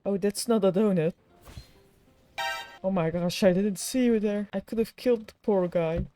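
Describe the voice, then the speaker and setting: A woman casually comments on a video game, joking and sarcastic, full of slang. Her voice is mid-pitched and mellow, with game music behind it. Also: moderate pace. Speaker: gamer commenting on gameplay.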